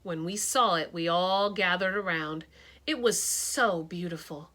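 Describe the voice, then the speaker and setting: A woman teacher reading a picture book aloud, expressive and dramatic, shifting her tone for suspense. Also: on the low side, measured pace.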